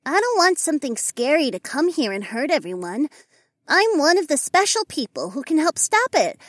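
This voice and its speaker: Man doing a high-pitched little snow leopard girl. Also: sing-song, normal speed, a bit bright, dry recording, studio quality, exaggerated voice. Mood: pleading. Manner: bubbly, pleading. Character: little girl snow leopard demi-human, eager, proud. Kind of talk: audiobook character voice.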